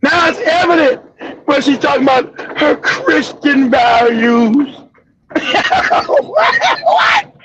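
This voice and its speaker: A man hosting a show, delivering a loud, profane, mocking commentary rant. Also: very high-pitched, sing-song, slow pace, dull audio, slight background noise. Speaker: show host.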